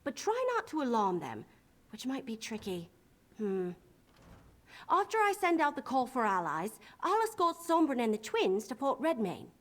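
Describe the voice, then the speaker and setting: Spunky young elf girl adventurer, video game, high bouncy voice, kinda wry. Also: big pitch swings, moderate pace. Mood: plucky, wry. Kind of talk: video game character acting.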